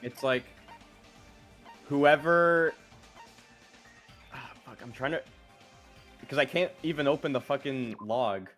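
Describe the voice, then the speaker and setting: A man's voice: mid-range voice, slow, pauses often, fairly bright tone. A man chatting casually while playing a game, hesitant, thinking aloud and trailing off. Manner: hesitant, trailing off mid-sentence. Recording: game music behind the voice.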